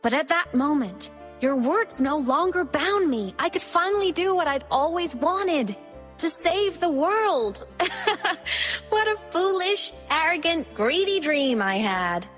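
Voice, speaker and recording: An actress playing a cheerful, idealistic young student turned digital ghost in a video game, expressive and earnest. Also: female voice, high-pitched, measured pace, soft background music. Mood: impassioned. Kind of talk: video game character voice lines.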